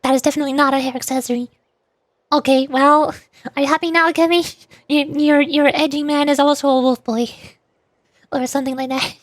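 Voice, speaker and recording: A woman livestreaming a game with casual, excitable, playful chatter. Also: high-pitched, a little fast, dry recording. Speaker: livestreamer, VTuber. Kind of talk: casual gameplay stream chat.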